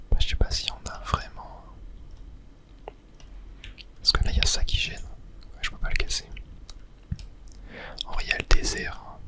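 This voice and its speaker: Soft whispering gaming ASMR host. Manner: casual, hesitant, thinking aloud. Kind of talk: whispered ASMR game commentary.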